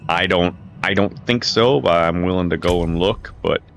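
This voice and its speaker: A man with a low-pitched voice giving casual, rambling gameplay commentary, often restarting and correcting himself. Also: moderate pace, creaky, a bit bright, faint music behind. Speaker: gaming video creator. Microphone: home streaming mic with crackle.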